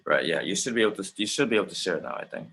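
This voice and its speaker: A man hosts an informal online chat in a fairly low but bright voice. His pitch moves around in a very lively way. He talks haltingly and often doubles back on his phrases. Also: breathy edge. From Jamaica. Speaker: host. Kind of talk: casual chat. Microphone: video call.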